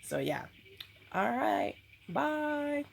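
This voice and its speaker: A woman's voice: high voice, sing-song, very slow, creaky. A woman in her thirties talking casually on camera at home, rambling with lots of ums and likes. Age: 30s. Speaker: vlogger. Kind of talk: casual book review vlog.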